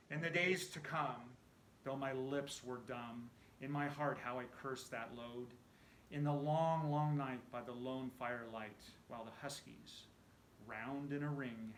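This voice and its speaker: Bright-voiced man reciting dramatically in echoey room. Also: mid-range voice, a little hiss or hum. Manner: animated, theatrical storytelling with hushed phrase endings. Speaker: amateur poetry reciter. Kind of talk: dramatic recitation of a narrative poem.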